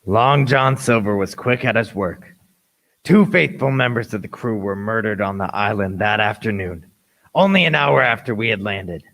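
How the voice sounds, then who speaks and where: A man's voice: fairly low pitch, expressive, normal speed, a bit bright, poor audio. A man playing an adventurer who narrates his perilous tale in a scripted radio play. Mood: grave, suspenseful.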